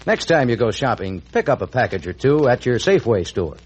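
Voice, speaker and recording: A man's voice: mid-range voice, sing-song, a little fast, breathy edge, low-quality recording. An American man reading scripted announcements and narration in the style of 1940s radio. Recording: old 1940s radio recording.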